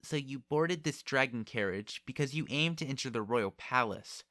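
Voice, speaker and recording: A man's voice: medium pitch, lively intonation, moderate pace, bright, forceful tone, no room sound, clean studio sound, pitched above the performer's own voice. A man voicing a proud, cold young noblewoman who speaks formally and with condescension in an audiobook. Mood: imperious, probing.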